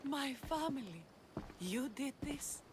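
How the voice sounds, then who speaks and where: A woman's voice: high voice, lively intonation, slow pace, background noise. An actress playing a guarded Greek woman hardened by danger in a video game, solemn and intense. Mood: grave, grateful. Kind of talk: video game cutscene acting.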